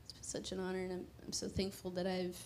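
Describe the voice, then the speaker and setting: A woman's voice: lowish voice, monotone, quick pace, slight background noise. Chatty woman preaching on stage. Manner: conversational, emphatic, drops to a hushed prayer. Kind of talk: sermon. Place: church stage. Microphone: handheld mic.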